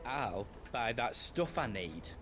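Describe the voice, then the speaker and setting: An actor playing an uncertain, good-natured traveling companion in a video game cutscene. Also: male voice, medium pitch, very animated, takes it slowly. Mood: hesitant. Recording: music behind the voice.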